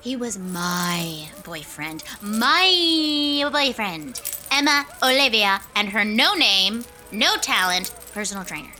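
A woman's voice: medium pitch, big pitch swings, takes it slowly, pushed and bright, soft background music, exaggerated voice. A woman playing a vain, pompous Broadway star in a scripted comedy audio drama, talking in a theatrical way. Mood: smug, catty. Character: haughty self-important Broadway diva star, indignant and vain.

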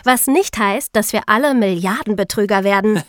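A woman's voice: mid-range voice, moderate pace, no room sound, studio quality. A young woman co-hosting a podcast, explaining things to young listeners in a casual, youthful way. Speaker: podcast host.

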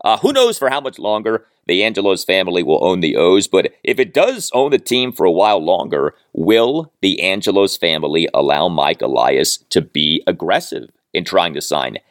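A man's voice: deep voice, big pitch swings, moderate pace, few pauses, no echo, clean studio sound. A man hosting a sports podcast, delivering solo commentary in an emphatic way, repeating phrases for stress.

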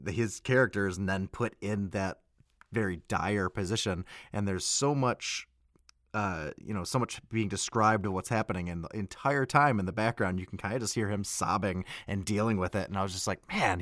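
Low-voiced man chatting, close dry sound. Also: fairly bright tone, no room sound. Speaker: voice actor and interview guest. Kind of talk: casual chat.

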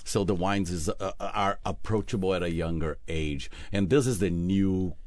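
Man, deep voice, explanatory guest on a radio wine show, dry close sound. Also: normal speed, darkish tone, dry recording. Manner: explanatory, with fillers and restarts. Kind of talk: radio studio talk.

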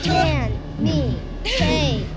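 An actor playing a fanatical villain in a horror audio drama, chanting and commanding in a strained, shouting voice. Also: high voice, big pitch swings, very slow, heavily acted. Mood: fervent chanting. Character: frenzied ritual-chanting villain, screaming commands. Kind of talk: audio fiction horror acting.

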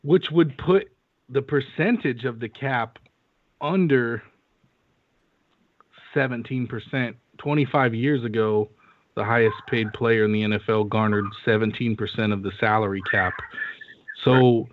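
A man's voice: medium pitch, unhurried, pauses often, muffled recording, slight background noise. A man co-hosting a casual sports podcast, talking bluntly and swearing with exasperation. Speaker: podcast co-host.

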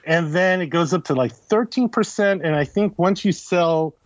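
A man's voice: high-pitched, moderate pace, no echo, dull audio. A man speaking as an expert podcast guest, explaining in an emphatic, conversational way, repeating points and checking in with the listener. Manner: emphatic, repeats himself, often checks with "you know" and "right?". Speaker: podcast guest, critic and editor.

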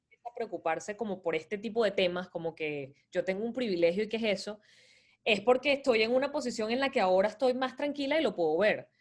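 A woman from Venezuela with a Venezuelan accent, a podcast guest talking in a reflective, measured way. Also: mid-range voice. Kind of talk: casual conversational interview.

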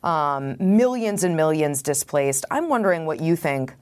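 A woman's voice: deep voice, big pitch swings, a little slow, a little mellow. A woman hosting an interview podcast, asking her guest direct, conversational questions. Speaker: podcast host.

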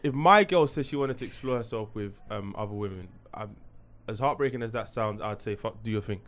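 A man's voice: on the low side, brisk, fairly bright tone. A man appearing as a guest on a casual podcast, stating his views bluntly and firmly. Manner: blunt, firm opinions. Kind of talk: casual chat.

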